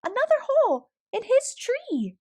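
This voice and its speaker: Slow, high-voiced woman reading a storybook. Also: wildly swooping pitch, darkish tone. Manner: deliberate, dramatic pauses. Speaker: storybook read-aloud host. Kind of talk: expressive children's story narration.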